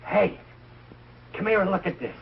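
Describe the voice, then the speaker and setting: A middle-aged man playing a blustering, soft-hearted working-class American father in a 1940s television sitcom, with blunt, slangy, ungrammatical speech. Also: sits high, expressive, normal speed, creaky. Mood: excited, proud. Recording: noisy, dull old television kinescope soundtrack.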